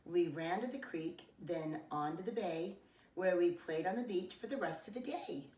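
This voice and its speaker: A middle-aged woman reading a children's picture book aloud in an expressive, deliberate way with dramatic emphasis, like a preschool teacher. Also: fairly low pitch, far from the mic, a little hiss or hum. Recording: roomy echo, away from the mic.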